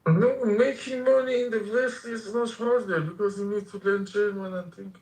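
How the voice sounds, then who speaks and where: A man in a heated group voice chat, speaking haltingly and earnestly with many "uh" fillers. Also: sits high, fairly even intonation, darkish tone, roomy sound, rough recording. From Iran. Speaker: voice chat participant.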